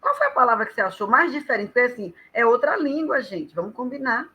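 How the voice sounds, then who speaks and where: A woman guest on a live chat, talking lively and emphatically as she gives advice. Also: a little high, fast. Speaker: guest storyteller and author.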